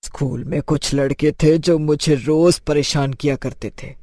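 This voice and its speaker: A man's voice: on the high side, steady tone, unhurried, dark tone, no room sound, clean studio sound, natural voice. An actor playing the menacing, bitter ghost of a wronged schoolgirl in a cartoon horror story. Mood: bitter, mournful.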